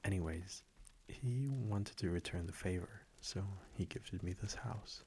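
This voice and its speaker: A tender immortal vampire boyfriend , played by a man, murmurs in a very deep, creaky voice. He takes his time, soft, breathy and affectionate with a gentle tease, as in a close ASMR roleplay. A faint noise sits behind him. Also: measured pace. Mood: relaxed, casual. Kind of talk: intimate ASMR audio roleplay. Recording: faint background noise, crackling fireplace ambience.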